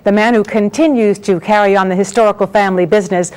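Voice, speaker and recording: A woman's voice: mid-range voice, fairly even intonation, quick pace, darkish tone. A woman presenting and interviewing as a talk show host, with polished, articulate delivery. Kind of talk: scripted introductions and interview questions.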